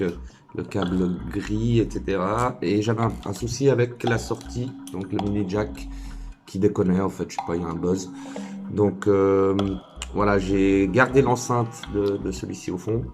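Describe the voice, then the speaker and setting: A man giving a casual hands-on tutorial, informal and hesitant, thinking aloud as he works. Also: low voice. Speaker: DIY tutorial maker.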